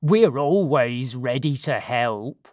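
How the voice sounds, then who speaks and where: A big, dopey satyr leader out of a storybook myth, as a reader puts him on, booming and dragging out his words in a lively, up-and-down voice. the sound is dry and close. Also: slow pace, dry recording. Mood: excited. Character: fat, dim-witted male satyr leader.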